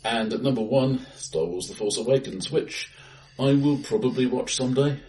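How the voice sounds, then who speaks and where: A middle-aged man chatting casually about films in a dry, wry way as a podcast co-host, in a bright, echoey room. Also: medium pitch, normal speed, distant mic, a little hiss or hum. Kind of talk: casual film chat. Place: face to face in an acoustically bright room.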